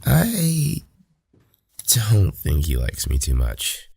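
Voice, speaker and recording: A man playing a laid-back, slightly puzzled teenage boy in an audio drama, casual and husky. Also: on the low side, wildly swooping pitch, extremely slow, a little mellow, no room sound, heavily acted. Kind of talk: audio drama acting, naturalistic.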